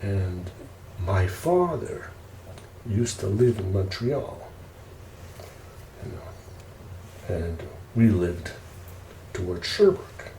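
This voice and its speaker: A man telling casual ASMR stories in an unhurried, rambling way. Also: sits low, sing-song, dark tone. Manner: unhurried, rambling family reminiscing. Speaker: ASMR storyteller. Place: indoors, roomy space while preparing food. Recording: roomy echo, faint background noise.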